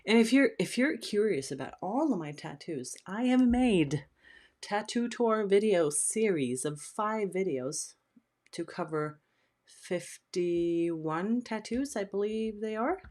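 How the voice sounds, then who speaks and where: A woman's voice: medium pitch, animated, slow, darkish tone. A middle-aged woman from Sweden, a native Swedish speaker, hosting a casual live stream and chatting as she reads out viewer questions. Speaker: host.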